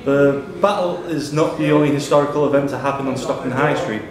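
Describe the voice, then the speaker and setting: A man hosts a quiz as question master, with a very echoey room around him. He reads questions and rules on answers at a middling pitch, with clear chatter from people nearby. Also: a little fast. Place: indoors in a pub room. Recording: roomy pub recording, chatter around. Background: people talking in the pub.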